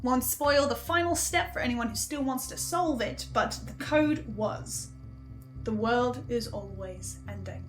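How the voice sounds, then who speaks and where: A woman playing a hesitant, hungry archivist in an audio drama, speaking haltingly with small stammers. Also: fairly high pitch, soft background music, natural voice. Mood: musing, chatty. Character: hesitant, hungry marked archivist recording a podcast reaction.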